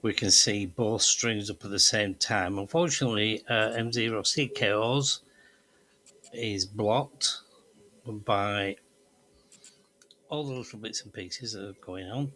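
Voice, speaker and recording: Slow, low-voiced man explaining. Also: pushed and bright, a little hiss or hum. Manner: methodical, step-by-step explaining. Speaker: amateur radio presenter. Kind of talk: explanatory tutorial narration over screen demonstration.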